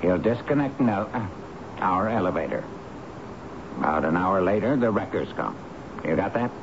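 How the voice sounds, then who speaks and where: An actor playing a cold, penny-pinching landlord in a radio drama, brusque, clipped and commanding. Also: male voice, sits low, sing-song, normal speed, vocal fry, heavily acted. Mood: businesslike. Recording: noisy, dull vintage radio broadcast.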